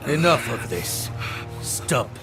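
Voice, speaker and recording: A man's voice: big pitch swings, slow pace, over music. A male actor voicing a stern, suspicious warrior in a video game cutscene, terse and grave. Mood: stern, wary. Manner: terse, grave, low-key. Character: stern, guarded warrior man, protective and suspicious.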